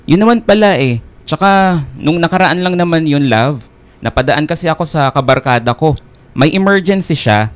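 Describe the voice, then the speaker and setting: A man playing a smooth-talking, possessive young boyfriend with a Filipino accent in a radio drama, persuasive and self-assured. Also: a little high, lively intonation, moderate pace, no echo, natural voice. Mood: insistent, guilt-tripping. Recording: music bed behind the voice.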